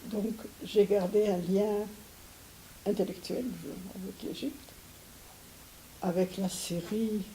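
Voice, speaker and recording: Older woman from Syria, mellow and hesitant, faint hiss under the recording. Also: medium pitch, fairly even intonation, takes it slowly, long pauses, low-quality recording. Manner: hesitant, with frequent restarts and soft laughs. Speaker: interviewee. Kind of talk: recorded interview.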